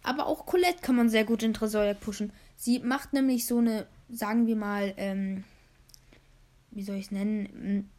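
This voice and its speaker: A young woman hosting a casual gaming podcast, hoarse, rambling with many fillers while she plays a game. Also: mid-range voice, moderate pace, a little mellow. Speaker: podcast host.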